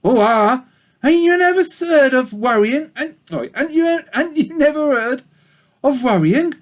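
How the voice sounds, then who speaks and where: A rustic old ewe, as a man reading a storybook aloud puts her on, her voice high and loud with a slow country drawl. She grumbles in broad dialect, hesitant and chatty, gentle underneath. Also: sing-song, full character voice. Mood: complaining. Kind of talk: reading a children's book aloud.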